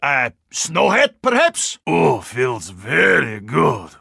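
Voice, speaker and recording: A male actor playing a huge, earnest, courteous Russian yeti in a video game, with a Russian accent, gravelly voice and formal, stilted phrasing. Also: medium pitch, wildly swooping pitch, takes it slowly, bright, forceful tone, dry recording, studio quality, exaggerated voice. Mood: earnest, dry. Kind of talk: video game character voice lines.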